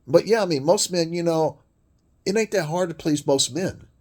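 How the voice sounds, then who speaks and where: A man with a deep voice delivering a blunt, crude, rambling monologue rant full of swearing. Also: moderate pace. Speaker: vlogger.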